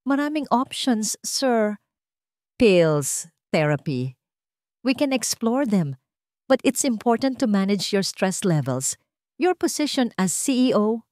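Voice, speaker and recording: A woman's voice: on the high side, sing-song, takes it slowly, darkish tone, no echo, clean studio sound, voice pushed up. A Filipino woman voicing a formal, sympathetic doctor with a clinical manner in a story narration. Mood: reassuring. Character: male doctor, professional, sympathetic, measured.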